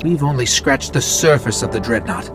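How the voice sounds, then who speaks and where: A man's voice: mid-range voice, expressive, moderate pace, close to an everyday voice. A man voicing a small, helpful robot companion in a video game, earnest and nervous with dry quips. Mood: dry, eager. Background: loud music behind the voice.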